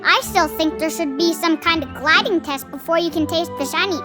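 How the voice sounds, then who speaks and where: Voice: very high voice, brisk, over music. A performer voicing a young boy flying squirrel bully with a taunting manner in a children's audio drama. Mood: mocking.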